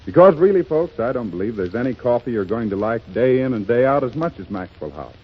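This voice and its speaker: A man's voice: mid-range voice, big pitch swings, a little fast, husky. A man announcing a 1930s radio commercial with a persuasive ad-read delivery. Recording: dull 1930s radio broadcast recording.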